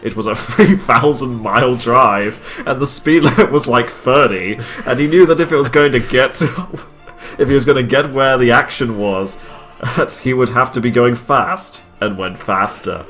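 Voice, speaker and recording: A man's voice: medium pitch, measured pace, a bit bright. A man hosts a comic read-aloud with music behind him. He delivers the story dramatically and drops into amused asides, sounding on the edge of laughter. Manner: amused, breaks into laughter while reading. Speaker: host reading fan fiction aloud. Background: background music.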